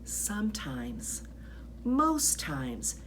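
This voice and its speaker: Lady reading a kids book out loud, slow n deliberate, kinda low voice, very expressive. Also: slight background noise, poor audio. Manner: dramatic, deliberate phrasing, drops into whispers. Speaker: school staff reader of children's books. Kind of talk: expressive children's storybook read-aloud.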